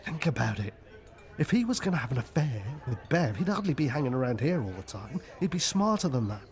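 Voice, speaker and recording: An actor playing an easygoing, level-headed, wry friend in a radio soap. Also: male voice, mid-range voice, big pitch swings, a little fast. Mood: wry, reassuring. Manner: reasoning, wry.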